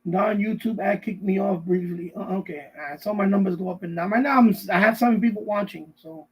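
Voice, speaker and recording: A middle-aged man with a notably high voice that has a husky, rough edge. He chats casually as a live stream host, wandering from thought to thought and circling back to repeat himself. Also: a little fast, low-quality recording. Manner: rambling, repeats himself often. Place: basement.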